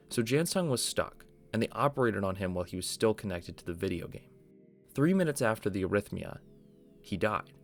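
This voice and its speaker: A young man hosting a video essay, reading scripted narration in a measured storytelling explainer style. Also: lowish voice. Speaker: video essay host explaining an analog horror series.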